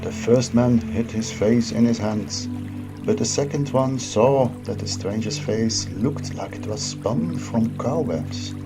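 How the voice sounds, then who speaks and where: A man narrates a tale as a storyteller, reading from a script at a very unhurried pace. His voice sits at an average male pitch. Clear music plays behind him. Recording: music and trickling water behind. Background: music, trickling water.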